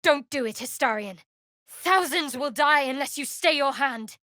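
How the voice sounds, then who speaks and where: A woman playing a guarded, sardonic young cleric of a dark goddess in a video game, speaking in a dry, wry manner. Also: sits high, animated, unhurried, no room sound, exaggerated voice. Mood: stern, forceful. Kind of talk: video game character voice lines. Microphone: close studio microphone.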